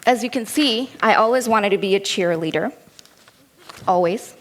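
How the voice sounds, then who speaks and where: A woman's voice: mid-range voice, moderate pace. A woman in her thirties with an Indian accent, giving a keynote talk as a personal story told in a candid, lightly humorous way. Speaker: keynote speaker.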